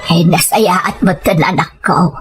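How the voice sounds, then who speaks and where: A woman playing a weary, ailing elderly mother with an Ilocano accent and a husky, plaintive voice in an audio drama. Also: fairly low pitch, fairly even intonation, a little slow, soft background music, close to an everyday voice. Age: older.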